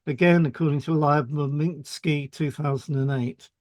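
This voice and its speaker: A man's voice: on the high side, fairly even intonation, normal speed, a little mellow, dry recording. A man co-hosting a podcast, reading explanatory script and introducing topics with short conversational links. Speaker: podcast co-host, hypnotherapist.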